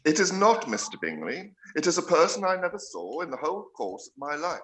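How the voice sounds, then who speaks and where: A wry country gentleman, lively intonation. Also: a little high. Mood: dryly teasing. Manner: wry, measured, formal period speech. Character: dry, sardonic middle-aged country gentleman, teasing husband and father. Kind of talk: live-read radio play of a period novel.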